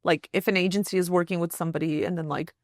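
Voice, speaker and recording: A woman's voice: on the low side, fairly even intonation, fast talker, no echo, clean studio sound. Woman hosting a casual interview. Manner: casual, many likes and laughs. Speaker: podcast host.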